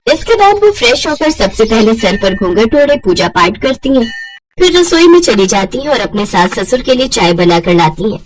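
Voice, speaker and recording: Female narrator for scripted family fables: lively intonation, raised pitch, slight noise behind. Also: moderate pace, faint music behind, poor audio. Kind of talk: scripted moral story narration.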